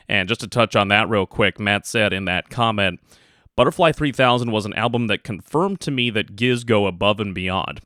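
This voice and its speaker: A man's voice: on the low side, pushed and bright, no room sound, studio quality. A man co-hosting a music podcast, chatting casually, reading listener comments and plugging the show. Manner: upbeat, reads listener comments and show plugs. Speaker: podcast co-host.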